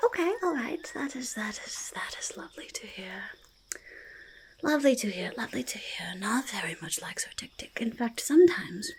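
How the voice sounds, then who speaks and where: A woman voicing a curious, skittish and chatty forest goblin in soft-spoken, half-whispered ASMR roleplay, halting and repeating words. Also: fairly high pitch, slightly rough, exaggerated voice. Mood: fond, hushed. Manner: halting, repetitive, speaks of self in third person.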